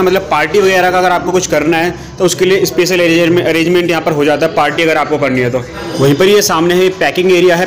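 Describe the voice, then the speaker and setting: A young man giving a casual, chatty food vlog tour of a small restaurant, filming on a phone held at arm's length. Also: on the high side. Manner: chatty, addresses viewers as brother. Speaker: food vlogger. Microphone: handheld phone at arm's length.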